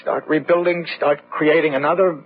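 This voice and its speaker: Actor as earnest, higher-voiced man on muffled radio. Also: fairly even intonation, close to an everyday voice. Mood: weary hope. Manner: halting, earnest, reassuring. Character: steady, protective, hopeful man, survivor of plague. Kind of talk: gothic radio drama acting. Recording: muffled, noisy old radio drama.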